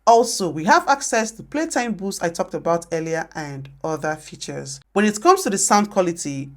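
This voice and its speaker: Woman narrating with lively, swinging intonation. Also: fairly low pitch, normal speed. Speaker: product reviewer. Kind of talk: scripted review narration.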